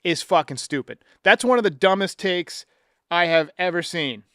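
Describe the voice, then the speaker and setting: A man's voice: high voice, animated, moderate pace, background noise. A man hosting a sports talk show, giving emphatic, blunt, profane opinions in a commentary style.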